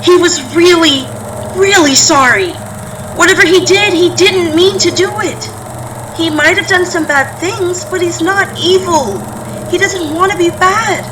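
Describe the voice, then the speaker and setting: A woman's voice: very high-pitched, unhurried, distant mic, noisy background. A woman acting in an audio play as an earnest, stubborn young daughter, imploring on behalf of her monstrous father. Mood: pleading, insistent.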